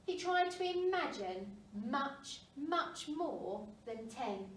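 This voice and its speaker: A woman reading a children's storybook aloud with expressive narration, as a primary school story reader. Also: sits high, slow. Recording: roomy, distant mic, faint noise.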